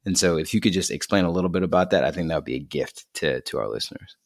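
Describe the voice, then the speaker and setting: A man's voice: sits low, fast talker, gravelly. A man interviewing casually as a podcast host, earnest and informal, with loosely phrased questions.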